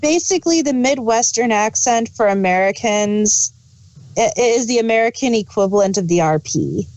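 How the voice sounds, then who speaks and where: A woman's voice: on the high side, lively intonation, moderate pace, a little mellow, rough recording. A woman chatting casually as a co-reviewer, repeating her points for emphasis. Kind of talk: casual chat.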